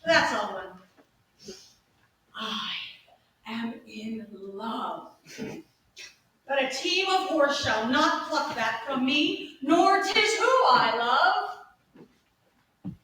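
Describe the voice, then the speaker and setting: A woman plays a clownish, lovesick servant in stage comedy, heard from far off in a very echoey room. The voice is high and the delivery slow, drawing out words for comic emphasis. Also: sing-song, bright, forceful tone, a little hiss or hum, full character voice. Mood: lovesick, musing. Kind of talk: theatrical staged reading of Shakespearean comedy. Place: live staged reading in an echoey room, distant mic.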